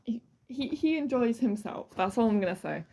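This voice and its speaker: A woman's voice: on the high side, expressive, normal speed, mellow. A woman hosting a true crime podcast, telling the story in an informal, jokey, conversational way.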